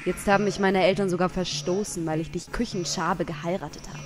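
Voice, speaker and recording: A woman's voice: on the low side, fairly even intonation, a little fast, natural voice. A woman playing a young, self-absorbed, condescending well-to-do wife in a surreal radio play. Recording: music under the voice.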